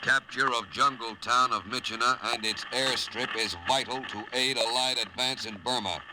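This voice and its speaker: A man's voice: mid-range voice, steady tone, moderate pace, natural voice. Clipped military dispatcher, male actor, noisy recording. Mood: urgent, official. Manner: clipped, formal, urgent military delivery. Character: stern military radio dispatcher at combat command. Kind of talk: 1950s radio drama acting. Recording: noisy old radio drama recording.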